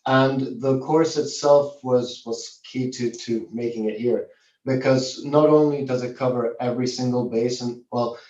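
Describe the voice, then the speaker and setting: A man's voice: mid-range voice, warm tone. A young man speaking fluently and articulately as an alumnus guest over a video call from an office. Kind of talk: casual remote testimonial. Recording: roomy, distant, dull video-call audio.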